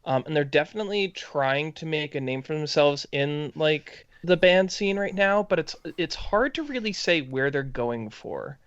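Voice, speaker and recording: A man co-hosting a casual review podcast, building his points haltingly and rephrasing as he goes. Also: on the high side, animated, a bit bright, clear, resonant tone, dry recording. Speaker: podcast co-host reviewing music. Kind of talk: casual chat, critique. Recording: dry, slightly muffled lo-fi audio.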